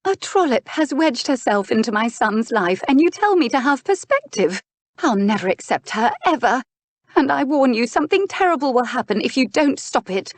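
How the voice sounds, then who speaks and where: A woman's voice: high-pitched, sing-song, moderate pace, dry recording, voice pushed up. A woman voicing a haughty, indignant duchess and mother in a historical romance audiobook, dramatic and scornful. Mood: angry, bitter.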